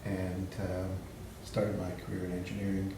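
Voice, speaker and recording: Older man, from Hungary, Hungarian first language, low voice, very echoey room. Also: completely flat delivery, moderate pace, noisy background. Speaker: lawyer. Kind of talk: roundtable introduction. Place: meeting room roundtable.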